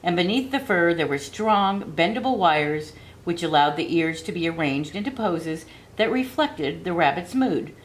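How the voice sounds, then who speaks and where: A woman with a slightly low voice reads a children's storybook aloud. Her narration is expressive and deliberate, with measured phrases and dramatic emphasis. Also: rough recording. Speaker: audiobook reader.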